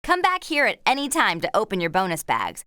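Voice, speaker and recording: An actress playing a cheerful, helpful young woman horse rider, guiding a video game player in a clear, upbeat, encouraging way. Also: medium pitch, huge pitch swings, a little fast, pushed and bright, no room sound, studio quality. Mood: friendly, instructive. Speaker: game lead character and tutorial guide. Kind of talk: scripted video game instruction and dialogue.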